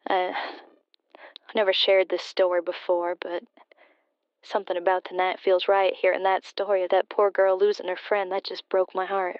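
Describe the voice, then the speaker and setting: Casting: actress as grieving female radio caller, hesitant, even intonation, smooth clean tone, slightly dull phone-in sound. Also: on the low side, normal speed, dry recording, lightly acted. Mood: subdued grief. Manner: hesitant, subdued. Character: grieving, hesitant daughter phoning a late-night radio show. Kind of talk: confessional storytelling in radio drama. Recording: muffled, band-limited phone-in sound.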